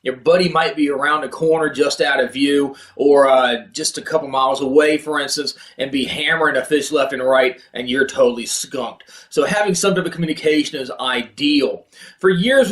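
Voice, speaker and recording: A man's voice: on the high side, a bit bright, roomy sound. A man hosting an instructional video, talking in a casual, plain-spoken, practical way about gear. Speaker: video host, kayak fishing presenter. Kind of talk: casual instructional product talk.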